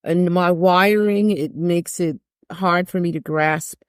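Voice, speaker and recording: Older lady jazz singer chatting on a podcast, low deep voice, keeps repeating stuff for emphasis, dry close mic. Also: fairly even intonation, slow pace, smooth, no room sound. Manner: emphatic, repeats phrases for stress. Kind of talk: candid podcast conversation.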